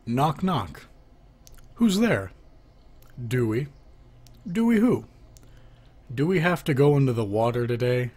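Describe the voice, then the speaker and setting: A man's voice: medium pitch, very animated, slow, long pauses, a little mellow, slightly rough. A man reading a children's joke book aloud, announcing who speaks before each line and lifting his voice for the questions. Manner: lifts his voice for the asking half of knock-knock jokes.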